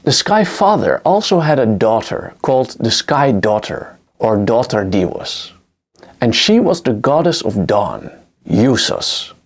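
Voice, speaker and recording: Man, Dutch accent, native Dutch speaker, educational narration, lively pitch, gravelly. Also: unhurried. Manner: explanatory, lively intonation. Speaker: history lecturer.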